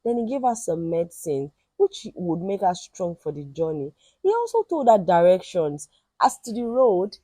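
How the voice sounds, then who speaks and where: A woman telling a folktale in an expressive, emphatic and dramatic storytelling style. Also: mid-range voice, dry recording.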